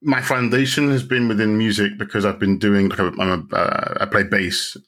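A man's voice: lowish voice, steady tone, moderate pace, a bit bright. A young man from London with a London accent, chatting casually as an interview guest, rambling through restarts and fillers. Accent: London English. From London, England. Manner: chatty, many restarts and fillers, self-deprecating. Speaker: guest, videographer.

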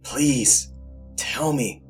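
A man's voice: medium pitch, very slow, soft background music, pitched above the performer's own voice. A man voicing a distraught, pleading boy in a dramatized horror audio story.

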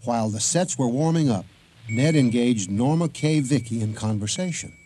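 A man's voice: medium pitch, animated, moderate pace, mellow, a little hiss or hum. A man hosting a radio drama in a folksy, conversational storytelling style. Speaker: host.

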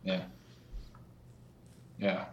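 A man in his thirties chatting casually as a podcast guest, halting with many fillers and restarts. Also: a little mellow, reverberant, highs cut off. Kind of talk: casual conversation.

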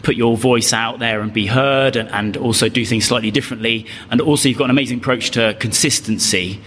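A man's voice: medium pitch, fairly even intonation, fairly quick, fairly bright tone, a little hiss or hum. Hesitant male podcast host, echoey. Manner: hesitant, many fillers and repeated words. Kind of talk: podcast interview. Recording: long reverb, faint music.

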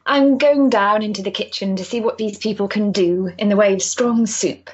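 Woman acting polite Victorian married lady, practical, kindly, breathy-rough voice, stage drama, dull sound. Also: medium pitch, moderate pace, close to an everyday voice. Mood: polite, confiding.

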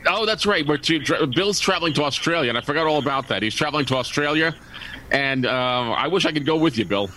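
A man's voice: fairly high pitch, lively intonation, fast talker, bright, forceful tone. A man co-hosting a radio show, chatting casually in a rambling way and often correcting himself. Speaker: co-host. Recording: faint background noise.

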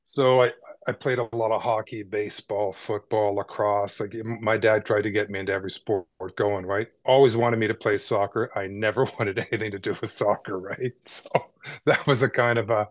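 Low-voiced man telling his story. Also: steady tone, moderate pace, no echo. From Canada. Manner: conversational, frequent "right?" tags and small repeats. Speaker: podcast guest. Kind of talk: casual storytelling interview.

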